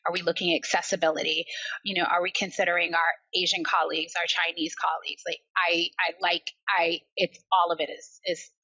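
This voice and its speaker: Bright-voiced American woman, passionate and chatty. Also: lowish voice, level tone, a little fast, breathy edge, dry recording, highs cut off. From Barbados and the US. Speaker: podcast guest, account manager. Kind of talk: podcast interview, personal reflection.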